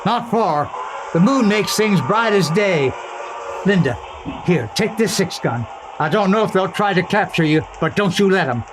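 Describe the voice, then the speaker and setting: A man's voice: sits high, lively intonation, normal speed. Man playing a grim folksy western rancher. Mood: grim, urgent. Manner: folksy, rustic speech. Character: grim, determined rancher defending his home. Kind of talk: old-west radio drama acting. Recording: noisy background with ambience.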